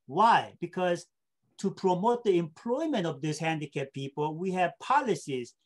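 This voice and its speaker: A man's voice: high voice, animated, moderate pace. A middle-aged man from South Korea, answering interview questions with anecdotes and explaining step by step, often checking in with short tag questions. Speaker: interview guest, state government official.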